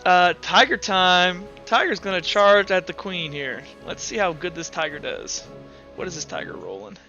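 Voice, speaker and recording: A man's voice: on the high side, big pitch swings, moderate pace, sharp tone, dull audio, soft background music. A man acting as game master, narrating a tabletop roleplaying game in a casual, slangy way.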